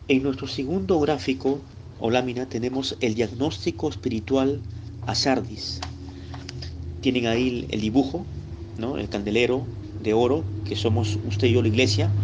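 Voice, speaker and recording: A man's voice: mid-range voice, noisy background. A man from Lima, Peru, with a Peruvian accent, teaching a recorded Bible lesson in a conversational way. He speaks straight to the listener and often checks in with short tag questions. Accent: Peruvian Spanish, Lima.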